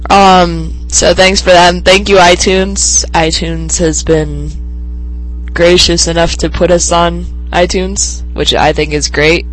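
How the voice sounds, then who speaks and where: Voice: mid-range voice, rough recording. Teen podcast host with a stuffy cold. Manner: rambling, many ums and restarts. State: congested with a cold, sniffling. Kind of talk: casual solo podcast chat.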